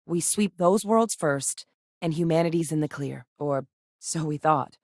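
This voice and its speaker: Composed, low-voiced woman soldier-scientist. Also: a little slow, darkish tone, no room sound, clean studio sound. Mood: serious, analytical. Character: seasoned no-nonsense woman soldier turned xenobiologist in space. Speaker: video game character voice. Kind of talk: scripted video game dialogue.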